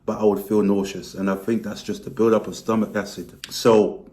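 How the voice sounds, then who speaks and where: A man with a hoarse, worn-out voice, talking candidly to camera in a casual vlog and explaining things. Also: normal speed. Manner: candid, explanatory, lively pitch rises. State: hoarse, lost voice. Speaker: vlogger.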